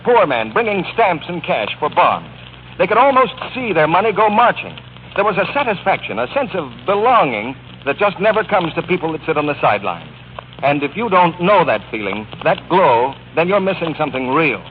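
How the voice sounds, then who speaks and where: Male wartime radio pitchman, earnest direct address, very varied intonation, narrow-band sound with light hiss. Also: very animated, fairly quick. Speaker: radio announcer reading a war bond appeal. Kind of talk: 1940s radio scripted appeal. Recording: tinny, noisy 1940s radio broadcast.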